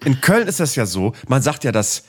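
A man's voice: medium pitch, sing-song, normal speed, breathy edge. A middle-aged man in casual podcast chat, telling rambling sarcastic stories and swearing casually. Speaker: podcast host. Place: Cologne.

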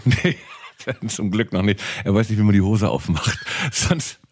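A middle-aged man co-hosting a casual podcast chat, telling rambling, animated stories. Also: on the low side, measured pace. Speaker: podcast co-host.

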